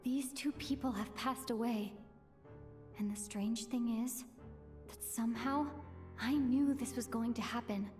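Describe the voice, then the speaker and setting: Fairly high, wondering woman playing a young woman. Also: fairly even intonation, a little slow, a little mellow, lightly acted. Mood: uneasy, reflective. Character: puzzled, introspective young woman with eerie premonitions. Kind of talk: scripted game voice acting. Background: music.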